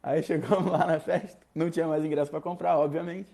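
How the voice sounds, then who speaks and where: A young man from Rio de Janeiro with a Carioca accent, casually telling a personal story, animated and slangy, acting out other people's lines. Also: a little high, quick pace, gravelly. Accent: Carioca Brazilian Portuguese. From Rio de Janeiro, Brazil.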